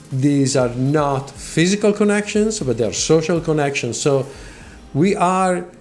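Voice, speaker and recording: A man's voice: fairly high pitch, darkish tone. A middle-aged man from Italy with an Italian accent, hosting his own tech channel and speaking earnestly to viewers, repeating words as he goes. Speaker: channel host. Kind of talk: talking to camera, motivational explainer. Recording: faint music behind voice.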